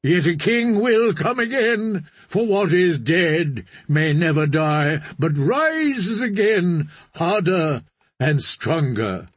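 A stern, zealous prophet of a sea god, preaching slowly and with weight, as a man puts him on. His voice sits a little raised, husky around the edges, and swings widely in pitch as he calls out. The sound is close and dry. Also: very animated, no echo, heavily acted. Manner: solemn, preaching, measured.

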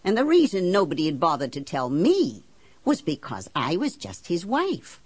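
A woman's voice: on the low side, wildly swooping pitch, moderate pace, darkish tone, slightly rough, dry recording, exaggerated voice. A woman playing a blunt, embittered working-class island housewife who tells her story in folksy, ungrammatical country talk for an audiobook. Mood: weary, resentful. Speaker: audiobook narrator. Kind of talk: first-person storytelling in character.

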